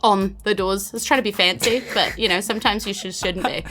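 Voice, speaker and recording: A woman's voice: medium pitch, fairly even intonation, fairly quick, pushed and bright, soft background music. A woman with a New Zealand accent narrating a tabletop role-playing podcast as game master, with playful, theatrical storytelling.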